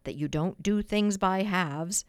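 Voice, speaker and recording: A woman's voice: medium pitch, unhurried, dry recording, clean studio sound, natural voice. A woman voicing a grumpy, proud middle-aged father in a storybook reading. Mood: grudging. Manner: grudging, then jolly.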